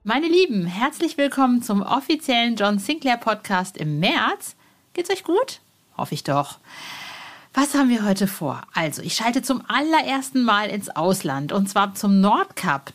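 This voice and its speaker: A woman's voice: medium pitch, very animated, normal speed. Chatty, enthusiastic female podcast host. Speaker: podcast host and music journalist. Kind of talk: upbeat podcast hosting and interview.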